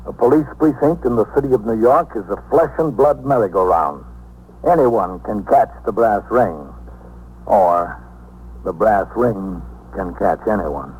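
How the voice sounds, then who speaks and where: An actor playing a tough, terse, world-weary New York police captain in a 1950s radio drama. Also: male voice, fairly low pitch, unhurried, a little mellow, breathy edge. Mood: brooding. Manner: terse, hard-boiled. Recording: faintly noisy 1950s radio recording.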